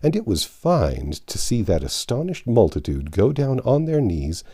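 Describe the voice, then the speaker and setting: A man's voice: medium pitch, huge pitch swings, normal speed, warm tone, breathy edge. A man reading a classic novel aloud as narrator, with a dramatic storytelling delivery. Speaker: audiobook narrator.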